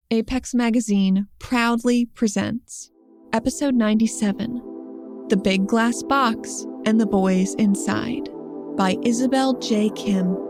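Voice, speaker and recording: A woman's voice: on the high side, darkish tone, no room sound. A woman announcing a magazine podcast in a scripted introduction style. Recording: dry studio with faint music behind.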